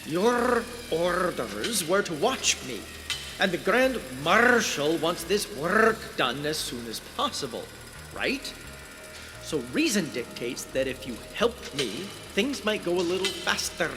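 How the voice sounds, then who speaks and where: An irritable, sardonic scientist in an audio drama. His high voice swings widely with exasperation and sarcasm. Music plays clearly behind him in a long reverberant space. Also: very animated, takes it slowly, heavily acted. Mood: condescending. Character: irritable sardonic male scientist working on dangerous experiments.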